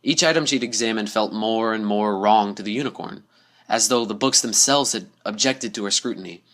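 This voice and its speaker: A man's voice: lowish voice, fairly quick, fairly bright tone. A man giving a dramatic scripted narration of a spooky story. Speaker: story reader.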